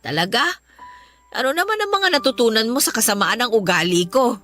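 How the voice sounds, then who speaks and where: An elderly Filipino mother-in-law in a radio drama. She is remorseful, fretful and full of tenderness, with a bright, sharp edge to her voice. Soft music runs underneath. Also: a little high, sing-song, a little fast. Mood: tearful, tender. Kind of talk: Tagalog radio drama acting.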